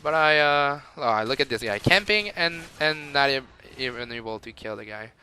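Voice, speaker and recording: A young man with a light Quebec French accent talks over his own gameplay. His voice is bright and forward, and he rambles with fillers and chuckles. There is a faint hiss under it. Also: medium pitch, expressive, moderate pace. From Montreal, Canada. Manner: rambling and chatty, with frequent fillers and laughs. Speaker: gamer. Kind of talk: gameplay commentary.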